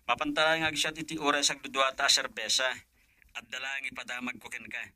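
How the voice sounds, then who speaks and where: A man's voice: mid-range voice, little pitch movement, brisk, bright, forceful tone, no echo, studio quality. An actor playing a friendly, modest young professional man in a radio drama. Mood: cheerful. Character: friendly, modest young architect, respectful to elders.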